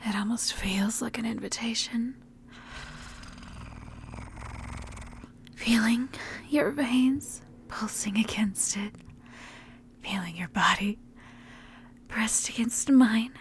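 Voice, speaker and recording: A woman voicing a seductive, predatory, ancient vampire in a breathy, intimate whispered ASMR roleplay. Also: on the high side, a little hiss or hum. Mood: hungry, tender. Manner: slow, intimate, menacing endearments.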